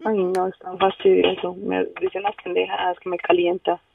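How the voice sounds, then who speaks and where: A woman's voice: on the low side, flat delivery, moderate pace, vocal fry, far from the mic, a crowd in the background. A Colombian woman calling into a radio show, talking bluntly and swearing freely in a Colombian accent. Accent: Colombian Spanish. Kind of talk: casual chat by phone. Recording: tinny phone line.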